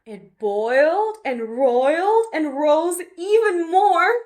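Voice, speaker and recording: High, animated woman reading a children's story. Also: slow. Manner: dramatic, with gasps and stretched key words. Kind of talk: expressive read-aloud storytelling of rhyming picture book.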